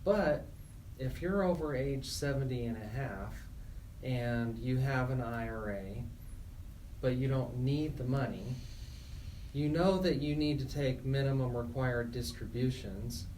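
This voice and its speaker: Guy on radio explaining stuff, medium pitch, measured, bit off the mic. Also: darkish tone, recorded from a distance, slight background noise, rough recording. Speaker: attorney co-host.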